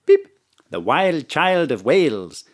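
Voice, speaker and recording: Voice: medium pitch, very animated, takes it slowly. An actor voicing a polite, literal-minded robot assistant in an audio drama, reciting facts in clipped, formal phrases. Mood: obliging.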